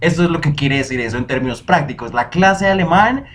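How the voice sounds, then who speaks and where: A young man hosting a solo podcast, talking in a lively, emphatic way and dropping in foreign phrases. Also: fairly high pitch, quick pace. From Colombia. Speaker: podcast host.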